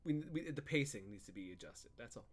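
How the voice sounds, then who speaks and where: Bantering man, medium pitch, playfully combative. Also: lively intonation, fairly quick, breathy edge. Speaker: gaming show co-host. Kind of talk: casual banter.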